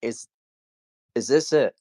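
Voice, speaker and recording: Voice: mid-range voice, slow pace, warm tone, dry recording, clean studio sound. A co-commentator following a card game live in a casual way, often in short, clipped interjections. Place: apartment.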